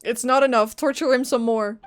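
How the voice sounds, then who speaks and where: High-voiced woman reacting to a game. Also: fairly even intonation, a little fast. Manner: reactive, blurts short exclamations. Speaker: game streamer. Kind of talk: casual reaction commentary. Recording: game music behind.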